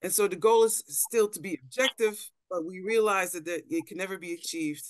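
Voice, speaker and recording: A woman's voice: lowish voice, brisk, no room sound. A middle-aged woman from the Netherlands, an anthropologist giving a thoughtful talk with slides over a video call, often repeating words as she looks for phrasing. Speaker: panel speaker, anthropologist. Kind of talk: lecture-style talk with slides. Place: indoor room on a video call.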